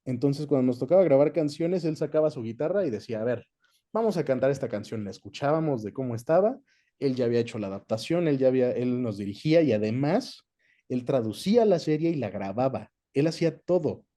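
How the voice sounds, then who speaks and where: A man's voice: medium pitch, lively intonation, fast, mellow. A man with a Mexican accent chatting casually as an interview guest, recounting his experience. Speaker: guest, dubbing actor and director.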